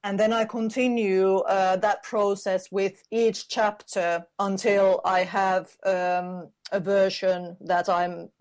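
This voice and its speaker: A woman's voice: medium pitch, level tone, darkish tone. Hesitant woman author. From Finland. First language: Finnish. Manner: thoughtful, hesitant, many pauses and restarts. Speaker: author guest. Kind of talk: interview answers.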